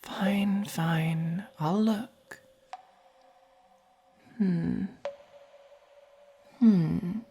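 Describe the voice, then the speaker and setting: A woman with an English accent voicing a haughty, possessive but caring vampire in a soft-spoken ASMR roleplay, with a breathy, commanding delivery. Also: lowish voice, a little hiss or hum. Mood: disdainful, then appraising. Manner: slow, deliberate, commanding.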